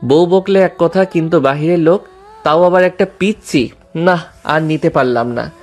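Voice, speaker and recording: A man's voice: on the high side, moderate pace. A man narrating a dramatized story in the first person. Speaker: story narrator and lead character. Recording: music behind the voice.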